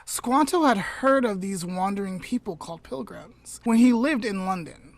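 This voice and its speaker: A woman casually retelling a story to her podcast co-host, unhurried, often trailing off into a soft near-whisper, with chatty asides and self-corrections. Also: mid-range voice, animated.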